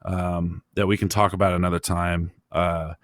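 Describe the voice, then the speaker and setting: A man with a fairly low voice hosts a casual motorsport podcast. He thinks aloud in a halting, opinionated way. The sound is very dry, with no room around him. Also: level tone, no echo. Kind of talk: casual racing discussion, opinionated.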